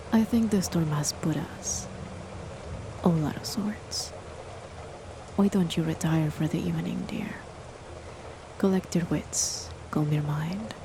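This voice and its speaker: A woman playing a controlling, sinister chemist who is the listener's partner, in an ASMR horror roleplay, talking softly in a half-whisper, politely but with quiet threat. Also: sits low, heavily acted. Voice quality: soft, whispery delivery. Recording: steady rain noise behind the voice. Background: rain.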